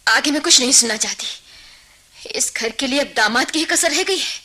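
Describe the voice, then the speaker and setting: An actress playing a bitter, defiant young working daughter in a radio drama, blunt and accusing. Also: female voice, high voice, animated, normal speed, bright, forceful tone, slightly rough, exaggerated voice.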